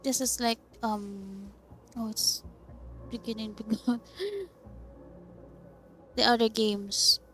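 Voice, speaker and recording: A woman's voice: a little high, level tone, slow pace, pauses often, fairly bright tone, soft background music. A woman giving casual, reactive game playthrough commentary, musing aloud as she goes. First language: Filipino. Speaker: gaming video creator.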